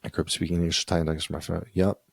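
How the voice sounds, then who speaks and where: Low-voiced man co-hosting a chat. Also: little pitch movement, rapid-fire, gravelly, dry recording, clean studio sound. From United States. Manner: reads passages aloud, then riffs conversationally. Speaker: podcast co-host. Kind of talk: casual chat.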